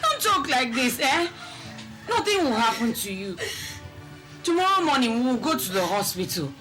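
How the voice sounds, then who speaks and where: An actress plays a devoted wife nursing her sick husband. Her voice is fairly high and moves a lot as she pleads and soothes him. Music plays behind her. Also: sing-song, normal speed, rough recording. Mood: pleading.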